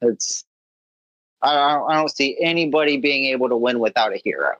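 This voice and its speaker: A North American man hosting a gaming stream, chatting in a teasing, halting way with many restarts. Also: medium pitch, a bit bright, no echo. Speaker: streamer host. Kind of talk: casual chat on a gaming stream. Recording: dull, dry mic sound.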